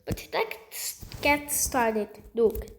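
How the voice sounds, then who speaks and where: A woman's voice: fairly high pitch, extremely slow. A woman giving a casual screen-recording tutorial, muttering to herself in a hesitant, repetitive, easily exasperated way. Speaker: video tutorial creator.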